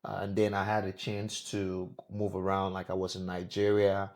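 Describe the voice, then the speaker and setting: A man from Cameroon with a Cameroonian accent, speaking as a podcast guest in a halting, emphatic, repetitive way. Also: deep voice, completely flat delivery, a little mellow. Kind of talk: casual interview chat.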